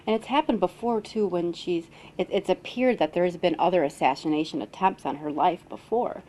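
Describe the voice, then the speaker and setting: American female news host and interviewer, lower-pitched voice, webcast delivery. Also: brisk, mellow, slight background noise. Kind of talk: news interview with scripted intro. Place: newsroom.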